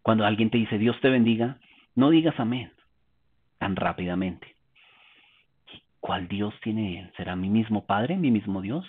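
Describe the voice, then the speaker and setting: A man preaching a teaching sermon, explaining Bible passages in a calm way and asking rhetorical questions. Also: on the low side, a little slow, long pauses, breathy edge. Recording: tinny phone-like sound.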